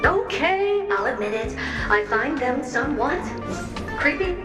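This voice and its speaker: An actress voicing a sentient mirror in a video game, a squeamish, timid but cheeky sidekick with an excitable, expressive delivery and an echoing effect. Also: female voice, sits high, unhurried. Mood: uneasy. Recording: echoey, distant, loud music behind.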